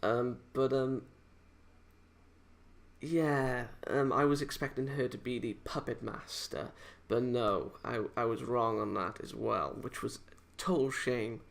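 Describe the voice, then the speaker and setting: A man giving a casual, unscripted review in a halting, hesitant, rambling way, often correcting himself as he goes. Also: mid-range voice, steady tone, creaky. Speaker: amateur video game reviewer.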